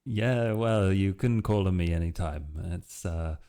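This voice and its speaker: A man's voice: low voice, measured pace, warm tone, smooth, voice pushed down. A man playing an earnest, casual young vampire with a dry, hesitant way of talking in a tabletop roleplay game. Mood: earnest, puzzled.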